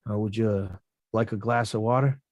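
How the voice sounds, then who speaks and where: A man reading the part of a rough-talking young male boxer at a script table read, slangy and self-mocking. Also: lowish voice, steady tone, a little fast, a little mellow, no echo, highs cut off, lightly acted.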